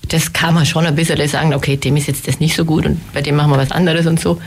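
A woman who heads a theater's women's makeup department, speaking in a casual interview while slightly hoarse from a cold and explaining her work in a matter-of-fact way. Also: low voice, flat delivery, fast talker, a little hiss or hum.

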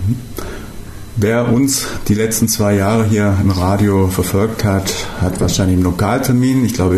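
Male radio host, low and mellow, halting delivery, roomy studio echo. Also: a little hiss or hum. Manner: hesitant, with fillers and restarts. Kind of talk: radio talk show interview.

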